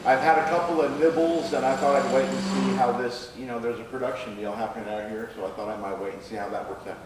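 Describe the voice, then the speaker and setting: A man speaking as a screenwriter in a live question-and-answer session, with a halting, hesitant delivery. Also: medium pitch, steady tone. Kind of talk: live Q&A after a staged reading. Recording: echoey room, distant mic, faint noise.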